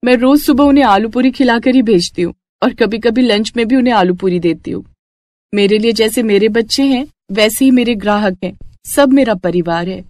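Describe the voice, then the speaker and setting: A woman voicing a gentle, caring mother who runs a food cart in a story. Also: fairly high pitch, brisk, no room sound, studio quality, close to an everyday voice. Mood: warm, polite.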